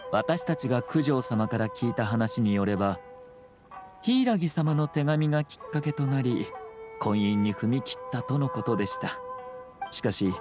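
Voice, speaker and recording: An actor playing a courteous, composed young noble commissioner in an anime game, speaking in measured, formal politeness. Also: male voice, medium pitch, natural voice. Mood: calm, courteous. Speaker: government commissioner.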